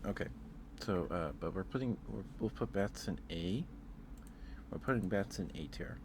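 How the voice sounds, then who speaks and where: A man's voice: deep voice, animated, normal speed, background noise. A man chatting casually on an ASMR livestream, rambling hesitantly and repeating his phrases, close to the microphone.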